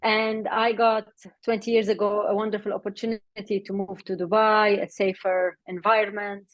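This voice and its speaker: A woman's voice: mid-range voice, flat delivery, normal speed, no room sound, rough recording. A middle-aged woman from Lebanon, a guest in an interview, telling her story in a friendly way and laughing often. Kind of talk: interview conversation.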